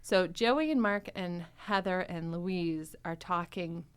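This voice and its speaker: A woman hosting a podcast in casual chat, retelling bits in an animated, giggly way. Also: fairly low pitch, takes it slowly, clean tone. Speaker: podcast host. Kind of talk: casual chat about a comedy drama podcast.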